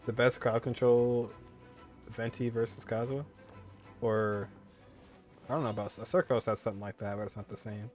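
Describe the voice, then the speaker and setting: A man's voice: mid-range voice, little pitch movement, moderate pace, long pauses, a little mellow. A man giving casual, conversational let's-play commentary as a gaming streamer. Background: faint game music.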